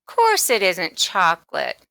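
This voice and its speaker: A woman's voice: fairly low pitch, wildly swooping pitch, takes it slowly, gravelly, pushed and bright, no room sound, full character voice. A woman voicing a bossy, indignant schoolgirl in a storybook, talking in an insistent, emphatic way. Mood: scornful. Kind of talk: children's storybook read-aloud.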